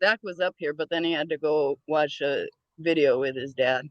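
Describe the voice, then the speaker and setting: A woman's voice: sits low, normal speed, dry recording, lo-fi sound. A woman chatting casually and playfully, passing on her son's questions and laughing easily. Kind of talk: casual chat.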